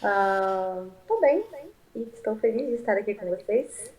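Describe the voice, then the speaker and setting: A woman's voice: medium pitch, expressive, measured pace, a little mellow. A woman with a Brazilian accent co-hosting a podcast and speaking casually to the viewers. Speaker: podcast co-host.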